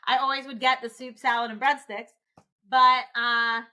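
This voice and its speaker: Loud, bright-voiced laughing woman. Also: high voice, normal speed. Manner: loud, lively, laughs often, talks with her hands. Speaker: podcast guest, dental finance advisor. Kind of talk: casual interview chat.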